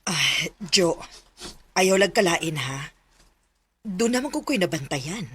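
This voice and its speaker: A woman's voice: on the low side, animated, slow pace, fairly bright tone, a little hiss or hum. An actress playing a suspicious, meddling middle-aged mother in a radio drama, with a Cebuano accent. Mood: suspicious, insinuating. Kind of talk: Filipino radio drama acting.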